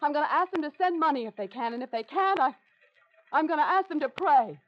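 A woman's voice: high voice, animated, quick pace. A woman playing a compassionate, determined newspaper reporter, direct and resolute, in an old radio drama. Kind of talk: 1940s-50s radio drama acting. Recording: muffled, noisy old radio recording.

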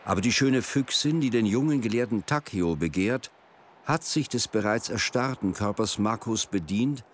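A man narrating a folk tale for a radio drama in a measured, literary storytelling delivery. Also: lowish voice, lively intonation, a little hiss or hum. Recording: music behind the voice. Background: music.

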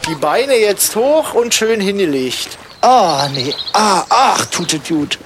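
A man's voice: high voice, very animated, slow, noisy background, exaggerated voice. A man playing a contrary, languid young loafer who talks in mock-pompous slogans in a comic radio play. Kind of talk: scripted comic audio drama in casual German dialogue.

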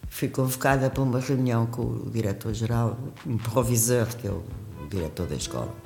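Deep-voiced older woman telling stories. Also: a little fast, vocal fry, reverberant, over music. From Mozambique. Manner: lively storytelling, laughs often, shifts pitch when quoting. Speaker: guest singer. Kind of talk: reminiscing interview talk.

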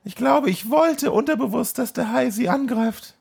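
A man's voice: very high voice, moderate pace, vocal fry, a little mellow, breathy edge, pitched above the performer's own voice, full character voice. A man voicing a troubled, sarcastic young woman in a comic audio play, with a dramatic, incredulous manner. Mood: guilt-ridden.

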